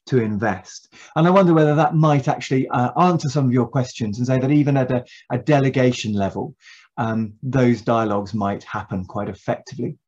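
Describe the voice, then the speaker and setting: A man's voice: mid-range voice, darkish tone, slightly rough, dull audio. A middle-aged man speaking on an online panel from a home study, hesitant, with frequent fillers and restarts. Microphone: video call.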